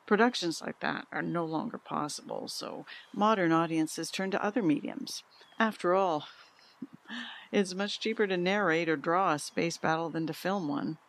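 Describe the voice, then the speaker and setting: An actress playing a candid, troubled middle-aged mother in an audio drama, speaking with a husky voice. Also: lowish voice, normal speed, lightly acted. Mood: proud, wistful. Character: candid, troubled mother confiding about her peculiar son. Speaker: interviewee.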